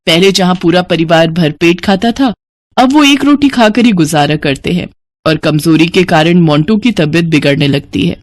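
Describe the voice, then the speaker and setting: A man's voice: high voice, animated, normal speed, no room sound. A man narrating a scripted moral story for a cartoon.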